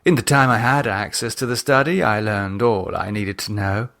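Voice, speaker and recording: A man's voice: fairly low pitch, moderate pace, a bit bright. A man voicing a poised, haughty aristocratic woman in an audiobook, a playful cult leader with an arch, refined manner. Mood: smug. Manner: arch, self-satisfied, refined. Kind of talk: audiobook character acting.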